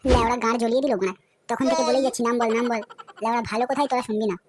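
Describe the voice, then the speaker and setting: A pushy, menacing young cartoon lad acted by a woman with a West Bengal accent. He badgers crudely at breakneck speed in a highish voice, over clear background music. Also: steady tone, very fast, over a noisy crowd, lightly acted. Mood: angry bullying. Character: brash, foul-mouthed young male suitor, pushy and menacing. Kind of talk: comedy cartoon dialogue. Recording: music behind the voice.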